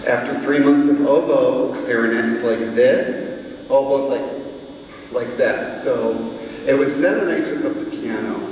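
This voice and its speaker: Slow chatty guy talking to a crowd between songs, far off mic, big echoey hall. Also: mid-range voice, a little mellow, slight background noise. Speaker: singer and composer. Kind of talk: live concert talk and singing. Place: performance hall. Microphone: room mic at a distance. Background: instrument music.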